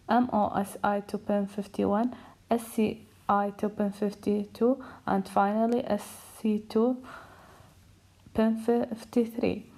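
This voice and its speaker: Slow, mellow-voiced woman presenting. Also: mid-range voice. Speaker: student project presenter. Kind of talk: scripted technical presentation.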